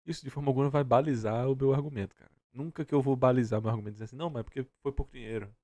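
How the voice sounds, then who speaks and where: A Brazilian man speaks in a mellow, unforced tone, recorded dry and close. He is opinionated and informal, debating as a reaction streamer and hammering points by repeating them. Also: medium pitch, racing, no room sound. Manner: blunt, opinionated, slangy, repeats himself for emphasis. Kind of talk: casual heated debate while reacting to a video.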